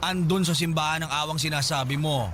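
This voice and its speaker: An actor playing a sassy, nosy eatery owner in a radio drama, sarcastic and blunt. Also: male voice, fairly high pitch, brisk, a little hiss or hum, lightly acted. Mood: sarcastic, scolding. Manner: sarcastic, gossipy, expressive.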